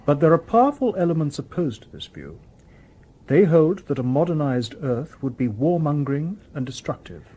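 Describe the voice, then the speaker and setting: An actor playing a calm, courteous humanoid robot detective in a radio drama, with formal, precise phrasing. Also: male voice, fairly high pitch, moderate pace, husky.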